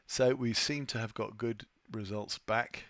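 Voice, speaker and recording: Low-pitched man presenting, bright dry sound. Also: steady tone, unhurried, no echo. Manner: hesitant, thinking aloud. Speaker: software tutorial presenter. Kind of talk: unscripted screen-share walkthrough.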